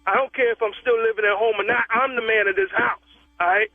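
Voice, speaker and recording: A high-voiced man in his thirties talks over a thin phone line with no room sound. He is protective and heated, and he keeps stammering and repeating himself. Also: sing-song, fast, gravelly, no echo. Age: 30s. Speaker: prank call target. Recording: tinny dry phone line.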